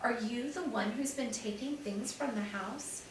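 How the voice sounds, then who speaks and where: A woman's voice: a little high, a little slow, noisy background, natural voice. A woman reading the part of a kindly older woman innkeeper who is hurt and bewildered. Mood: pleading. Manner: soft and pleading. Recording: very echoey room, distant mic.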